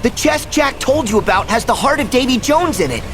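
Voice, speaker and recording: A man's voice: extremely high pitch, expressive, fairly quick, background noise. A man playing an eager, headstrong young hero in a video game, earnest, blunt and exclamatory. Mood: questioning. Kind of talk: video game cutscene acting. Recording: loud background music.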